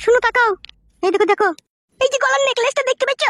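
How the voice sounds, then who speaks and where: A woman voicing a pushy, scolding village wife in a comic cartoon, with a shrill, nagging, foul-mouthed manner. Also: very fast, creaky, fairly bright tone, no echo, heavily acted. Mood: pleading, insistent.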